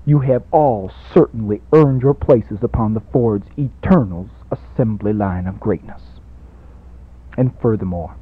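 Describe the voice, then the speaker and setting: A man's voice: mid-range voice, breathy edge. On a thin, narrow-band old college radio recording, a man acts out a grandiose, money-hungry radio preacher. He is pompous and emphatic, repeating phrases in a swelling sermon cadence for a comic radio play.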